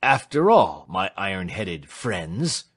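A languid, moody gentleman of classic fiction, acted by a male reader. He speaks slowly in a sardonic, impatient way, with plenty of movement in his pitch. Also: sing-song, slightly rough, heavily acted. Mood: wry. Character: moody, impatient, debt-ridden gentleman client. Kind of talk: dramatised reading of classic fiction.

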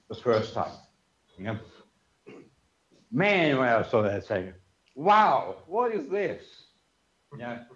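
An older man from Germany with a German accent, giving a memorial lecture to an audience in a conference room, telling personal stories in a conversational way, full of "you know" and "yeah". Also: medium pitch. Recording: roomy, slightly dull lecture room sound.

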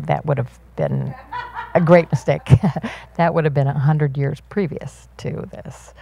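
A woman voicing a signed panel talk as an interpreter, in measured, halting phrases at an auditorium panel. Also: low voice, vocal fry, mellow, slightly rough, dry recording, lo-fi sound.

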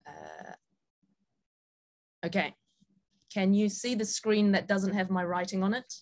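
A woman's voice: mid-range voice, huge pitch swings, takes it slowly, a little mellow. A woman with a broad New Zealand accent giving an informal presentation as a guest speaker, casual and a little hesitant. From New Zealand and Sabah, Malaysia. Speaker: guest speaker, film director.

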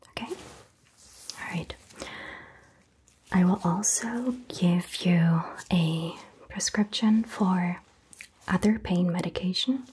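A young woman whispering softly as a doctor in an ASMR roleplay, gentle and reassuring, recorded close to the mic in a small exam-room set. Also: lowish voice, slight background noise. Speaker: ASMR creator playing a doctor. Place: indoor set styled as a small doctor's office.

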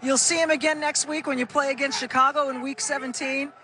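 A woman's voice: high-pitched, steady tone, brisk, very noisy. A female sideline reporter asking direct, upbeat questions on an outdoor field at night. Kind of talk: post-game field interview.

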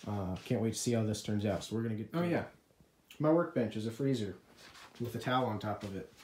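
A man's voice: fairly low pitch, animated, fast, a little mellow. A young man vlogging a DIY woodworking build in a farm shed and porch workshop, joking and self-deprecating as he talks into a nearby phone camera. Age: young adult. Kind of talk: casual workshop vlog. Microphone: phone camera held or propped nearby. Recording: echoey room with clear background noise.

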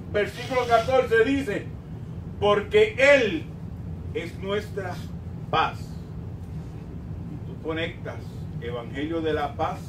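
An older man with a Puerto Rican accent preaching a sermon emphatically, swinging between drawn-out emphasis and quieter asides. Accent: Puerto Rican Spanish. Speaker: pastor. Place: small church sanctuary, indoors at a pulpit. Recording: roomy, distant mic, rumbling background noise.

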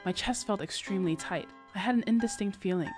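A woman's voice: mid-range voice, fast, music in the background, close to an everyday voice. A woman reading a novel passage aloud in the first person as an anxious young noblewoman. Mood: uneasy.

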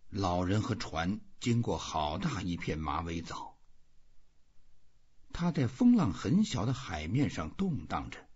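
Male audiobook reader, slow pace with animated intonation, raspy, slightly muffled lo-fi sound. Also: low voice. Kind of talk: scripted narration of a literary novel.

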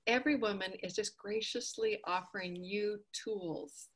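A woman's voice: medium pitch, a little slow, a bit bright, breathy edge. A woman with a mid-range voice hosts an online event, introducing her guest in effusive, enthusiastic terms. She is heard over a video call. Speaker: host. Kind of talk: online summit interview.

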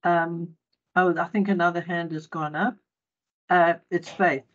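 Woman moderator, lowish voice, polite, calls on speakers, muffled call audio. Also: steady tone, unhurried. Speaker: seminar moderator.

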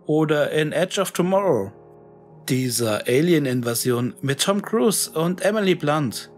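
A man narrating a scripted horror monologue in a musing, deliberate, brooding manner with dramatic pauses. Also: fairly high pitch, animated. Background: music.

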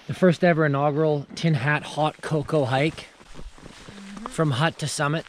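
A man's voice: a little high, fairly even intonation, moderate pace, slight background noise. A young man chatting casually and playfully to a handheld camera as a hiking vlogger, with enthusiasm. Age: young adult. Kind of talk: casual outdoor vlog chat. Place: mountain trail outdoors and small wooden hut. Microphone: handheld action camera at arm's length. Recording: background music under the voice.

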